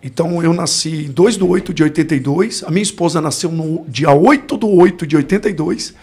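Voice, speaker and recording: A man's voice: fairly high pitch, a little fast, darkish tone, breathy edge. A Brazilian man in his forties, a pastor, telling his life story in a podcast interview in a reflective way, often searching for words. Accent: Brazilian Portuguese. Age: 40s. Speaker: pastor and guest.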